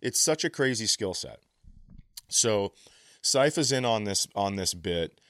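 Low-voiced man telling casual comic stories. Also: animated, measured pace, fairly bright tone, no echo, studio quality. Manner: profane, laughs while telling stories; acts out other people's quotes. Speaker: comedian telling stories on a podcast. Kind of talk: casual animated storytelling.

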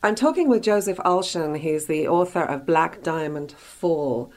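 Woman, low-pitched voice, talk show host, broadcast interview style. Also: lively intonation, a little slow, darkish tone. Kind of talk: broadcast interview, presenting to listeners.

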